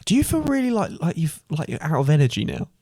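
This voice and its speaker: A man's voice: on the high side, wildly swooping pitch, fast, dark tone, no room sound, studio quality. A man co-hosting a podcast in casual chat. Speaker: podcast co-host.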